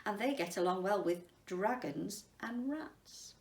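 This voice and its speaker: A woman reading a story to children and chatting to them about it, addressing them directly in an unhurried way. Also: medium pitch, animated, a little mellow. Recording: roomy echo, distant mic.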